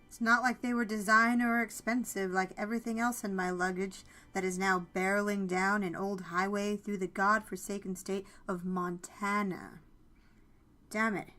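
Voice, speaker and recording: A woman's voice: mid-range voice, level tone, moderate pace, bright, forceful tone, close to an everyday voice. A woman playing a curt, guarded CEO in an audio fan fiction drama, with a snappish, exasperated manner. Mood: bitter, frustrated.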